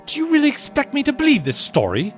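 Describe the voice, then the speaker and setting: A man's voice: extremely high pitch, huge pitch swings, fast, faint music behind, exaggerated voice. A man playing a stern, suspicious nineteenth-century naval officer in an audio drama. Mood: accusing, scornful. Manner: stern, accusatory.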